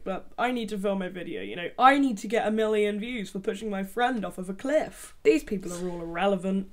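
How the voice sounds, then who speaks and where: A young woman giving deadpan, sarcastic commentary from her bedroom into a desk microphone. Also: mid-range voice. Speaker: YouTube commentator. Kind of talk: sarcastic commentary on a reality show. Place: small bedroom with colored lights. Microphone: large desk microphone.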